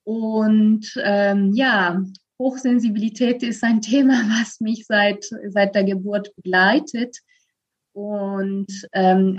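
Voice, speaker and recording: Dull-sounding recording of a woman guest. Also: medium pitch. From Italy. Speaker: podcast guest, voice trainer. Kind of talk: reflective conversational interview.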